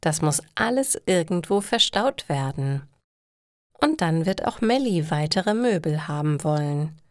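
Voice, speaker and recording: Slow, animated woman storyteller. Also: low voice, no echo, clean studio sound. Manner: clear, deliberate enunciation. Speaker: narrator of stories for language learners. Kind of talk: scripted storytelling.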